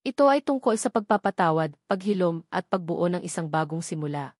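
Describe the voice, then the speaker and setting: Calm, resolute family man, voiced by a woman. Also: lowish voice, brisk, no room sound, studio quality. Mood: calm, firm. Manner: clear, steady, sincere.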